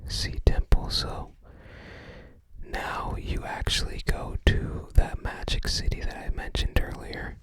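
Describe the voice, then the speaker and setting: Asmr host whispering real slow n breathy, kinda musing as they go. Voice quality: soft breathy whisper, unvoiced. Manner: unhurried, musing, tentative recall. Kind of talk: ASMR, unscripted whispered commentary while browsing a game guide book.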